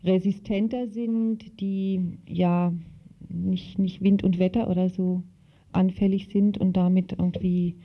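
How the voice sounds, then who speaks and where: A woman being interviewed, explaining things informally and colloquially, often halting to search for words. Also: mid-range voice, level tone. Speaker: interviewee knowledgeable on the subject. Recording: dull lo-fi interview tape.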